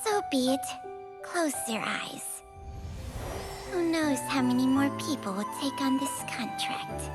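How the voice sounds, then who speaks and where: A woman's voice: high-pitched, expressive, takes it slowly. A woman voicing an ancient female snake spirit in a game, dry, teasing and world-weary. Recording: game music behind.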